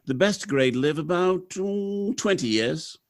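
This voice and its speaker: A man's voice: high-pitched, expressive, slow. An actor playing a proud, unsentimental robot factory manager in an old-time radio drama, theatrical and confident as he explains things. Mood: brisk, proud. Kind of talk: live recreation of 1930s radio drama.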